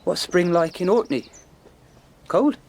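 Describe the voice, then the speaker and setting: A man's voice: sits high, expressive, slow pace, slight background noise, full character voice. An actor playing an ardent, cocky young king who teases, commands and pleads in a radio drama. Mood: teasing. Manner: commanding yet pleading, teasing.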